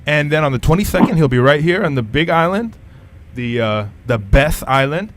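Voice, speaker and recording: A man's voice: fairly high pitch, animated, moderate pace. A man hosting a casual radio interview, asking questions and reading out announcements in a studio.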